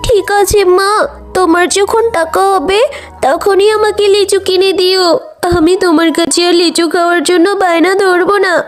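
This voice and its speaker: A woman voicing a shrill, stubborn little fox girl. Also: little pitch movement, normal speed. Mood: eager. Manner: whiny, eager. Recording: voice with background music.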